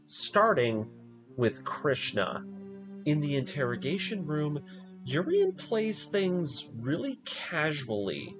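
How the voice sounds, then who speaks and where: A man narrating a scripted story recap as a video essay host, with emphatic, dramatic delivery and pointed pauses. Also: medium pitch, poor audio.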